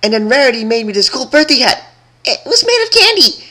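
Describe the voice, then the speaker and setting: A performer voicing a bubbly, hyperactive young female cartoon pony who pouts and wheedles with drawn-out squeals. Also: high-pitched, big pitch swings, bright, forceful tone, pitched above the performer's own voice, heavily acted. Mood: giddy, excited. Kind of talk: cartoon character voice in fan fiction reading.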